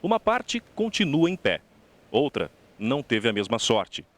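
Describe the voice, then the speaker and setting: A man's voice: mid-range voice, huge pitch swings, measured pace. A man with a Brazilian accent reads scripted documentary narration. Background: background music.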